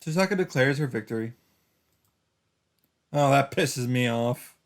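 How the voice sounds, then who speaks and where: A man's voice: medium pitch, animated, unhurried. A man hosting a let's play, reading a visual novel's first-person narration aloud with occasional asides of his own. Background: game music.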